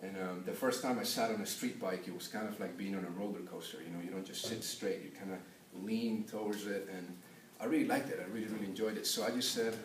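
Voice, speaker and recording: A young man who grew up in Peru tells a personal story for a class assignment, pausing often on filler sounds. His voice sits somewhat low. It comes from a distance in an echoey classroom with a faint hum behind it. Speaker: student giving a class speech.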